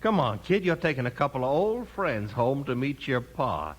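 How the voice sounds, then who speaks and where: An actor playing a slick, bullying cowboy outlaw leader in 1950s radio western style, full of folksy slang. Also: male voice, medium pitch, very animated, moderate pace. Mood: smug, persuasive. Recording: noisy old 1950s radio recording.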